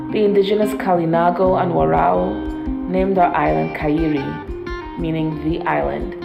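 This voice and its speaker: A woman from Trinidad telling her own story in a measured way, in a recorded self-introduction. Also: deep voice, vocal fry, lo-fi sound. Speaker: panelist. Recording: echoey with loud guitar music. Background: guitar music.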